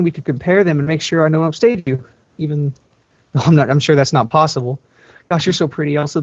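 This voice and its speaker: A man voicing a lonely eighteen-year-old princess in a story reading, rambling nervously and haltingly. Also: a little high, darkish tone, no room sound, close to an everyday voice. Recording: dull lo-fi microphone, dry.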